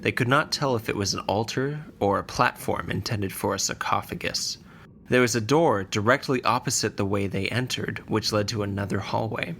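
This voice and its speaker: A man narrates a scripted fantasy adventure. His voice sits low and has a creaky, gravelly grain. He tells the story in measured, deliberate sentences. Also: a bit bright, slight background noise. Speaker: podcast narrator.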